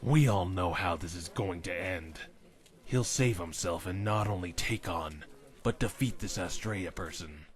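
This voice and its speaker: A man acting a very deep, sardonic agent. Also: unhurried. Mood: cynical. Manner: sardonic, dour. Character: brooding, sardonic male agent, dismissive loner. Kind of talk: audio drama acting.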